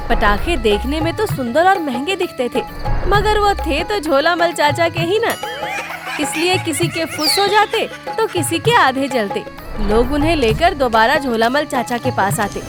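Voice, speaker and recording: High-pitched woman narrating a comic story.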